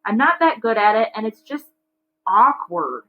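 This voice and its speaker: A woman's voice: a little high, sing-song, moderate pace, lo-fi sound. A woman voicing a defiant, reluctant adolescent boy in a children's storybook, arguing and whining. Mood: whining protest.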